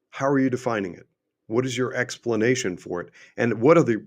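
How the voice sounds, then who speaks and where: A middle-aged man hosts a livestream and talks emphatically, asking question after question, and his voice is going hoarse. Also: on the low side, darkish tone. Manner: emphatic, repetitive, rhetorical questions. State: losing his voice. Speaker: host and finance adviser. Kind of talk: unscripted livestream monologue.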